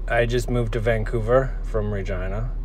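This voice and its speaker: A forty-year-old man rambling casually and haltingly as he muses aloud while driving. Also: on the low side, steady tone, darkish tone. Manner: halting, reflective, musing aloud. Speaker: vlogger, musician. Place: inside a moving car. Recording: noisy car interior with road noise.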